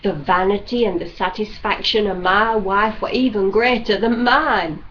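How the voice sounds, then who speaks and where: A woman reading a classic novel as scripted narration, with measured, deliberate phrasing, like an audiobook reader. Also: mid-range voice, a bit bright. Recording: dull lo-fi audio with background noise.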